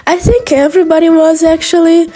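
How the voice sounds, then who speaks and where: Rambling young Brazilian woman, mellow tone. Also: extremely high pitch, little pitch movement, fairly quick. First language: Portuguese. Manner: rambling, hesitant, many restarts. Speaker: video creator. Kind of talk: casual monologue.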